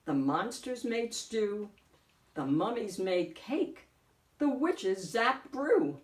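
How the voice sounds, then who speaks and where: A woman reading a picture book aloud as host, in a deliberate, theatrical storytelling way. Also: medium pitch, very animated, far from the mic. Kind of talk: expressive picture-book narration. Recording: echoey room, off the mic.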